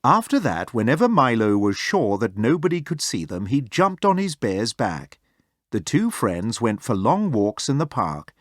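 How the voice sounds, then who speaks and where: Animated male storybook narrator, dry sound. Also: medium pitch, a little slow, no room sound. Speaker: storybook reader. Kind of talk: animated children's read-aloud narration.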